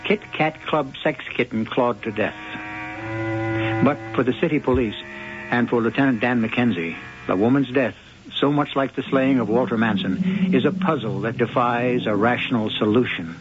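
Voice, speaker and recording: An actor as the storyteller host of a radio mystery, narrating theatrically in a wry, ominous manner. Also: male voice, mid-range voice, background noise, lightly acted. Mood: wryly ominous. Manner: wry, ominous, deliberate diction. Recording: dull old radio broadcast recording. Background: music.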